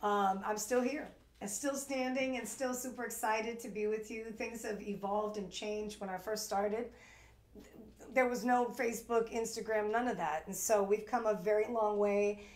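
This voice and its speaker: A woman's voice: medium pitch, steady tone, moderate pace, darkish tone. East coast lady in her 40s hosting a shopping stream, rambly and chatty, echoey studio. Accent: East Coast American. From New York. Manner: chatty, rambling with fillers and restarts. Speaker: shopping channel host. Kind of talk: live shopping stream chat.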